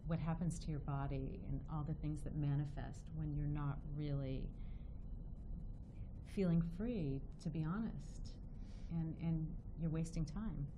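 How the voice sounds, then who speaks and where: A woman co-hosting a talk radio show speaks in a very low voice and at a slow, earnest, halting pace. Clear background noise sits behind her. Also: dull audio. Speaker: co-host. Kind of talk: casual radio chat.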